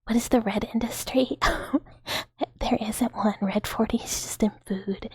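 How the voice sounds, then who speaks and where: A woman's voice: fairly high pitch, steady tone, a little slow, darkish tone, slightly rough, dry recording. A woman livestreamer whispering casually to her viewers in an airy ASMR style, with a playful, rambling manner. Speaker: livestreamer hosting a chat stream.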